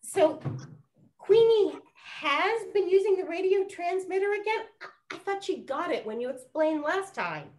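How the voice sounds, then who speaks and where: An actress playing an excitable, incredulous lady of the 1920s in a comic period drama. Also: extremely high pitch, measured pace, a little hiss or hum, heavily acted. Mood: incredulous, curious. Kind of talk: period comedy radio-play acting.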